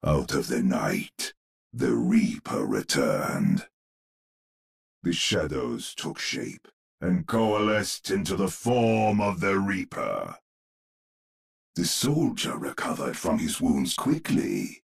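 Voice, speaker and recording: A man playing a grim, gravelly storyteller narrating a gothic horror tale in an ominous, theatrical manner for a game. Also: a bass voice, sing-song, heavily acted. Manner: ominous, dramatic, deliberate storyteller.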